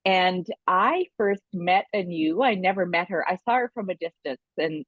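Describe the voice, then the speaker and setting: A woman's voice: lowish voice, expressive, normal speed, no room sound, narrow, tinny audio. A woman co-hosting a podcast, introducing a guest in a conversational interview style.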